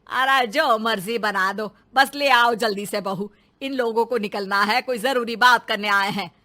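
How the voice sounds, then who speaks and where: A woman voicing an impatient older mother-in-law in a cartoon story, scolding and grumbling in drawn-out phrases. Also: on the high side, bright, forceful tone.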